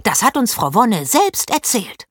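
A woman reading aloud as a bossy know-it-all schoolgirl who talks in a shrill, indignant way. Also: sing-song, normal speed, fairly bright tone, dry recording, clean studio sound.

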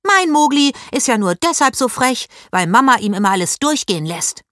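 A woman reading aloud as a bossy know-it-all schoolgirl who talks in a shrill, indignant way. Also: big pitch swings, moderate pace, a bit bright, no echo, clean studio sound.

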